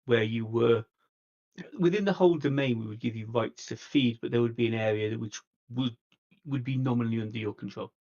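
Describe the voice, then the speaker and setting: Through a slightly muffled mic comes a diplomatic vampire played by a man at a roleplay table. His mid-pitched voice is polite and conciliatory, feeling its way haltingly through the terms. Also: a little mellow, natural voice. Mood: earnest, bargaining. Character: reasonable, diplomatic vampire coterie negotiator.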